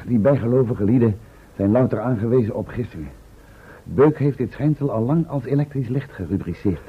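An actor playing a composed, determined expedition companion in a radio play, measured and formal, with a husky voice. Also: male voice, medium pitch. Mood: insistent. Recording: muffled, band-limited old radio play recording.

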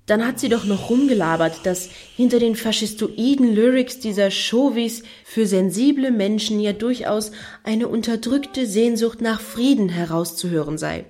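A woman's voice: a little high, moderate pace, darkish tone, lightly acted. An actress in a radio play voicing a blunt, sardonic woman with a dry, needling, colloquial way of talking. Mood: scornful. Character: blunt, sardonic woman friend of a devoted fan.

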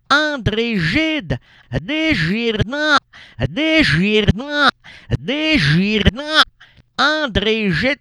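A man's voice: a little high, fairly bright tone, dry recording. A man with a Quebec French accent playing an exasperated but doting, wordy husband in an absurd radio comedy, mock-solemn and easily flustered. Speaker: radio show host.

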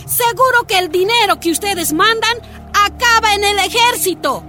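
An actress playing a defiant Bolivian miners' leader woman in a radio drama, speaking in a forceful, demanding way. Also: very high-pitched, moderate pace, soft background music. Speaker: lead character.

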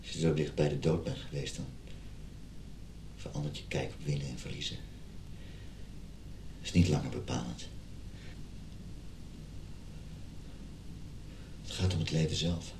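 An actor playing an injured husband in a radio drama, earnestly and emotionally pleading for reconciliation. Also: male voice, steady tone, normal speed, pauses often, darkish tone. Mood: solemn, earnest. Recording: roomy sound with background rumble.

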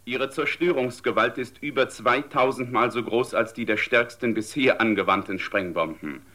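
A man's voice: mid-range voice, sharp tone, close to an everyday voice. An actor playing a grave male radio announcer reading a wartime news bulletin, formal, urgent and emphatic.

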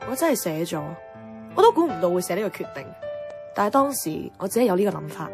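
A woman's voice: mid-range voice, sing-song, fast, over music. An actress playing an outspoken, exasperated young career woman in a Cantonese radio drama, blunt and scolding, with a Hong Kong accent. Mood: sceptical, reflective. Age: young adult.

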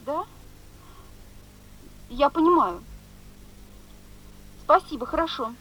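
Flustered, very high-voiced mother. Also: lively intonation, slow, a bit bright, heavily acted, rough recording. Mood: hesitant. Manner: hesitant, stumbling, evasive. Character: evasive, flustered mother phoning about her daughter. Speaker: actress in a radio play. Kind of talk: 1970s Soviet radio drama acting.

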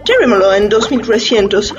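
A woman's voice: mid-range voice, animated, normal speed, highs cut off, music in the background, close to an everyday voice. A woman playing a nosy, sceptical, bargaining customer in a radio drama, insistently repeating her questions. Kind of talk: theatrical radio drama acting.

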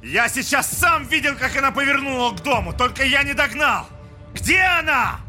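An actor playing a furious, frantic, violent grown son, shouting in a theatrical audio drama. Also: male voice, extremely high pitch, lively intonation, normal speed, soft background music, exaggerated voice. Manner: shouting, frenzied.

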